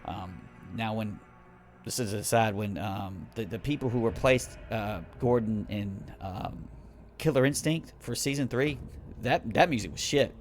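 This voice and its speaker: A man's voice: lowish voice, unhurried, soft background music. A tired man giving a casual, rambling spoken game review late at night, swearing often and restarting his sentences. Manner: rambling, profane, many restarts and fillers.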